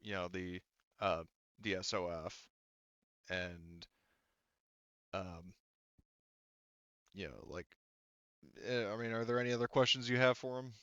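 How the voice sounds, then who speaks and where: A man casually running an improvised tabletop game as game master, halting and rambling as he thinks aloud. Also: low voice, steady tone, a bit bright, clean tone, dry recording, lo-fi sound.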